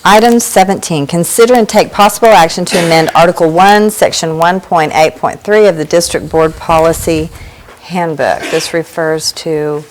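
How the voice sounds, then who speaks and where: A woman chairing a school board meeting, reading agenda items formally and briskly. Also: fairly low pitch, steady tone, a little hiss or hum.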